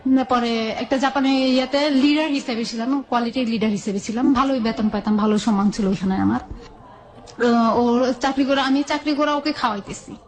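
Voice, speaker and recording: A woman's voice: fairly high pitch, moderate pace, rough recording. A woman with a Bangladeshi accent telling her own life story in a colloquial, conversational way, often quoting dialogue back and forth.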